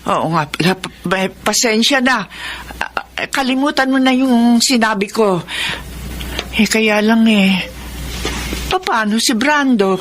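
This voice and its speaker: A woman's voice: a little high, slight background noise, lightly acted. A woman playing a frank, motherly older household servant in a radio drama. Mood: apologetic, worried. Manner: reproachful, halting when rebuked. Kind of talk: Tagalog radio drama acting.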